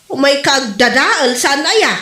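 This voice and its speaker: A woman's voice: high voice, animated, takes it slowly, sharp tone, roomy sound, heavily acted. A woman playing a brash, chatty village campaigner who exclaims and scolds, in a comedy audio drama. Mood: annoyed, scolding. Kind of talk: comedy radio drama acting.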